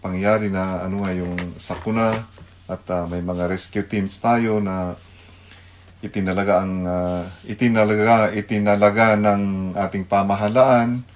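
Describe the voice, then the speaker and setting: Slow, low-voiced Filipino man, halting speech. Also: steady tone. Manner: halting, searching for words, mixes Tagalog and English. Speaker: radio devotional host, pastor. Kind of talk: unscripted devotional radio talk.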